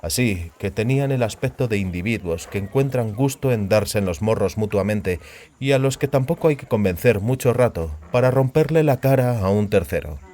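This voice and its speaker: A male audiobook narrator with a lowish voice. He reads literary fantasy prose as a scripted narration. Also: a little mellow.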